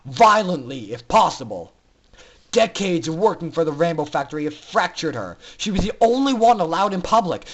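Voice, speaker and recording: A man's voice: sits high, low-quality recording. A man narrating fan fiction in a theatrical, intense style, as a dramatic story reader.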